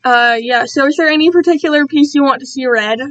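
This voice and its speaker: A woman's voice: high voice, brisk, fairly bright tone, no echo, dull audio. A woman chatting casually to viewers on a live stream, rambling and self-deprecating, easily drifting off track. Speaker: streamer reading own fan-written work to viewers.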